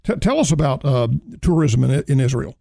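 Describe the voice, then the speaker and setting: Brief: friendly male radio host, gravelly, dry close studio sound. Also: mid-range voice, big pitch swings, brisk, dark tone, no room sound. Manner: friendly, conversational. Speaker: radio talk show host. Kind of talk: radio interview.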